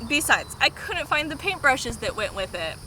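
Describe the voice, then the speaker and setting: Woman reading as high-voiced, disorganized schoolboy. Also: sing-song, moderate pace, pushed and bright, a little hiss or hum, full character voice. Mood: matter-of-fact. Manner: plain storybook read-aloud. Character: disorganized, easygoing schoolboy narrating his own story.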